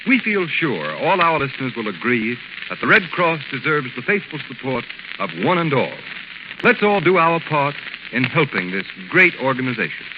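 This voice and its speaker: A man's voice: medium pitch, big pitch swings, moderate pace, highs cut off, noisy background. An American man, a radio announcer reading formally and emphatically in 1930s broadcast style.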